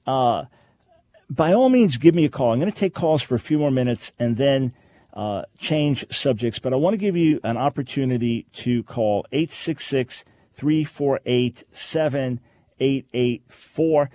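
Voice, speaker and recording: A man hosting a call-in radio show, talking in an animated, preacherly way and acting out quoted voices. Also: mid-range voice, a little slow, no echo. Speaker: radio host. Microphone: studio microphone.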